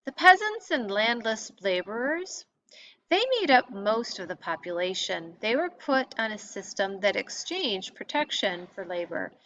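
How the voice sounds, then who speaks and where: A woman teacher reading a textbook lesson aloud in an expository way, pausing to stress key terms. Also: medium pitch, big pitch swings, fairly bright tone. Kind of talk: read-aloud lesson narration. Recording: dull lo-fi audio with clear background noise.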